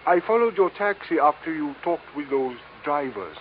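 A man's voice: on the high side, expressive, close to an everyday voice. An actor playing a small, formal, politely menacing gunman in a vintage radio drama. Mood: smooth, insinuating. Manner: formal, measured, overly polite. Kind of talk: 1950s radio drama acting. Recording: tinny, hissy old radio recording.

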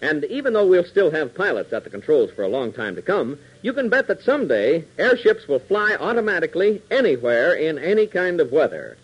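A man's voice: a little high, sing-song, fairly quick, hardly any pauses, husky. An American man narrating a 1940s radio serial as its announcer, in a dramatic, punchy scripted style. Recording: dull 1940s radio recording.

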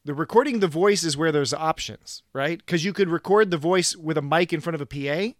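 A man's voice: fairly high pitch, a little fast, no room sound, clean studio sound. A man in casual conversation, explaining things in an informal way. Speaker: band member explaining audio setup. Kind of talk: casual chat.

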